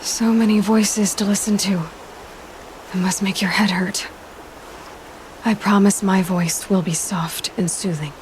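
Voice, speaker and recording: An actress playing a resolute young outcast huntress in a video game, guarded but compassionate, speaking directly and with measure. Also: female voice, medium pitch, steady tone, a little slow, pauses often, darkish tone, a little hiss or hum, natural voice, rough recording. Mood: calm, soothing. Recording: music under the voice.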